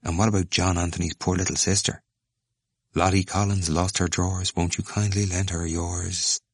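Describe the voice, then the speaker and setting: A man's voice: deep voice, expressive, measured pace, vocal fry, darkish tone, husky, dry recording, studio quality, exaggerated voice. A man doing a murmuring, sly young joker. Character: sly joking young male student.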